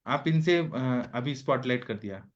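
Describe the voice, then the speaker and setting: A man's voice: mid-range voice, a little slow, highs cut off. A man hosting an online Ayurvedic consultation session, talking directly and firing off rhetorical questions. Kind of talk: casual instructive talk. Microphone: video call.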